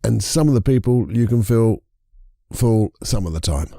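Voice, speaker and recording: Croaky, low-voiced male podcast host. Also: expressive, moderate pace, mellow, no room sound, studio quality. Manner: chatty, rambling, with self-corrections and chuckles. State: croaky voice from a lingering cold. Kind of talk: casual solo podcast monologue.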